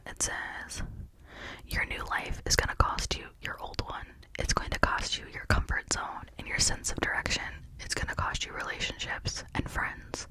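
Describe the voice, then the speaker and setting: Someone whispering an asmr ramble, all breath no voice, confiding and chatty. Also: poor audio. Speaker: ASMR video creator.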